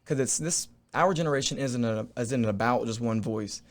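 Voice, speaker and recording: A man's voice: mid-range voice, normal speed. A young man, a podcast guest, explaining his view earnestly in a casual discussion. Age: young adult.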